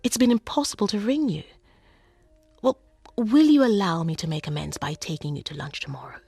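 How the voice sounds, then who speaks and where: A woman's voice: mid-range voice, a little fast, vocal fry, mellow, husky, no echo. An actress playing a poised, courteous single woman with a British accent in a naturalistic radio drama. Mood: apologetic, gracious.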